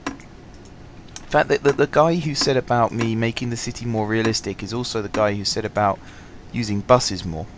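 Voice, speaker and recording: Hoarse gaming host fighting a cough. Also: male voice, on the low side, normal speed, noisy background. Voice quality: slightly husky. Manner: rambling, apologetic, lots of ums. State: sore throat, fighting coughs. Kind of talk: casual let's-play commentary. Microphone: headset.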